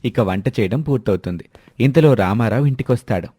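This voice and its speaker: A man's voice: mid-range voice, expressive, fairly quick, mellow, slightly rough, dry recording. A man narrating a scripted moral tale in a storybook style.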